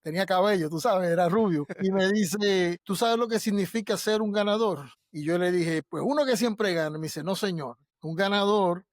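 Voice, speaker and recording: A man's voice: sits high, normal speed, few pauses, dry recording, studio quality. A Puerto Rican man in his fifties, an interview guest telling personal stories conversationally and voicing the dialogue he quotes. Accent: Puerto Rican Spanish. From Puerto Rico. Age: 50s. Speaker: interview guest, martial arts master.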